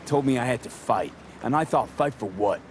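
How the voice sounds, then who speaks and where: A man's voice: mid-range voice, animated, moderate pace, a little hiss or hum, lightly acted. A man playing a brooding but sincere streetwise mercenary in a video game, speaking in a casual, clipped, earnest way. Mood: hurt, heated. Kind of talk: naturalistic video game voice acting.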